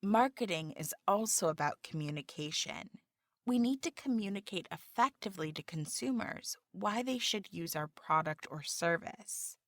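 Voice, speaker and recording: A woman reading the applicant's part in a scripted practice job interview, with careful, deliberate delivery. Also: medium pitch, lively intonation, fairly bright tone, no echo, studio quality.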